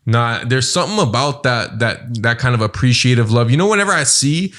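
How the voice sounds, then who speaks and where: Twenties man, chatty podcast host, mid pitch, lots of "like". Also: a little fast. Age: 20s. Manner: chatty, animated, says "like" and "you know what I'm saying". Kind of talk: casual podcast conversation.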